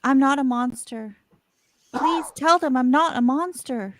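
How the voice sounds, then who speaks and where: A woman's voice: high-pitched, measured pace, background noise. A woman playing a frightened, pleading seventeen-year-old girl in a live audio drama read. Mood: scared pleading. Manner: pleading, distressed.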